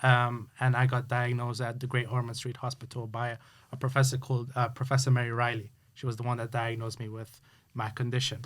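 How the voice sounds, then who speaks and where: A man talks through his story as an interview guest. His voice sits at an ordinary middle pitch. He speaks earnestly and halts often, with plenty of fillers and restarts. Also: level tone, a little fast, a bit bright. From Hungary. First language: Hungarian. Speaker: guest, youth sports coach. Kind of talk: casual interview chat.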